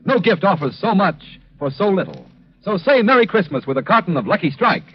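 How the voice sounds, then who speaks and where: A man delivering a formal, emphatic sales pitch in 1940s radio advertising style, with a husky voice. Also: very animated, fairly quick. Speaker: commercial announcer. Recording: dull, lo-fi 1940s radio recording.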